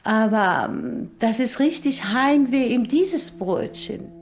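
A woman's voice: on the high side, animated, slow pace. A woman, reflecting on a story. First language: not German. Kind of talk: reflective commentary.

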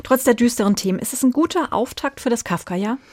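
A woman's voice: on the high side, animated, quick pace, darkish tone. A woman hosting a radio review programme, mostly reading scripted introductions. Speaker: radio book-review host. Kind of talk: scripted presenting with short interview questions.

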